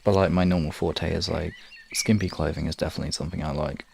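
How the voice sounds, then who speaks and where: A man chatting casually to live viewers as a streamer, in a halting, rambling, informal way. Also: sits low, animated, darkish tone. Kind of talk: casual livestream chat. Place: room in an apartment. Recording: clearly noisy home room recording.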